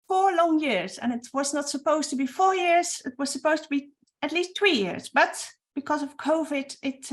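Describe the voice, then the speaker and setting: A woman with a high voice and a Norwegian accent presents a webinar as project coordinator. Norwegian is her first language, and her English is earnest and a little halting, with occasional restarts.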